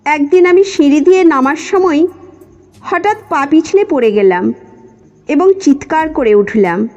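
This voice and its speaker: A woman's voice: sits high, expressive, a little slow, darkish tone. A woman reading a scripted first-person story as its narrator. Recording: muffled lo-fi audio with music. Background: background music.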